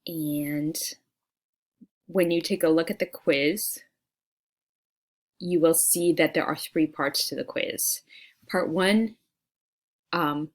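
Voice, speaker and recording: A woman's voice: sits low, slow pace, pauses often. A woman teacher talking through class material in a friendly, conversational way, as in an informal screencast walkthrough. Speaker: English teacher. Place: screen-recorded voice-over for an online class.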